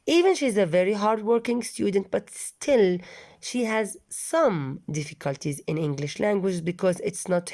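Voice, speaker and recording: Woman English teacher with a low, warm voice, reading a story aloud and patiently glossing its vocabulary for students. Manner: patient, restates words with synonyms, explains vocabulary.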